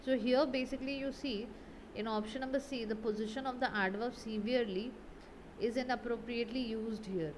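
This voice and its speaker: A woman's voice: a little high, steady tone, fairly quick, a little hiss or hum. A woman English grammar teacher explaining exercise answers in a didactic way, often checking understanding with tag questions.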